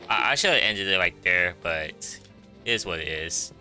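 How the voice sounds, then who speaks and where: A man's voice: low voice, lively intonation, moderate pace, pushed and bright, faint music behind. A man giving casual gameplay commentary as a streamer, informal, muttering short reactions. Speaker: game streamer.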